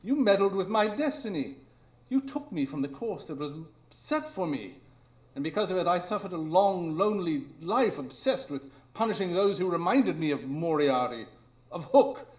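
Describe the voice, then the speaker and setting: An actor playing a brilliant, brooding detective in a live radio drama, speaking in an intense, theatrical way. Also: male voice, high-pitched, lively intonation, normal speed, reverberant. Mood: anguished, resentful.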